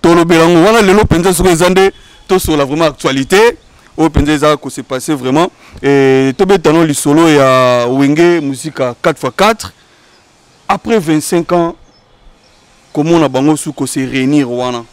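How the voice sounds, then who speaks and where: A man's voice: fairly high pitch, normal speed, smooth, dry recording. A man hosting a studio talk show, thanking the guest, affirming him briefly and steering the topic with questions.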